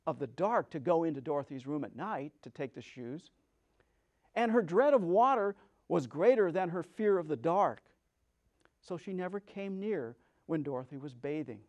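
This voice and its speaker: A man giving a dramatic storybook reading as a storyteller, with theatrical, expressive emphasis. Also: a little high.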